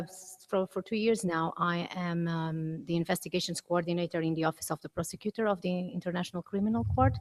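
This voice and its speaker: A woman from Portugal with a Portuguese accent, speaking hesitantly and unscripted as she introduces herself on a conference panel. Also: lowish voice.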